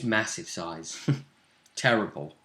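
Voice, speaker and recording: Man, forceful bright voice, game master, casual session recap, echoey room. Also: deep voice, slow pace. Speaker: game master recounting a role-play session. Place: indoor room. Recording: roomy echoing home recording.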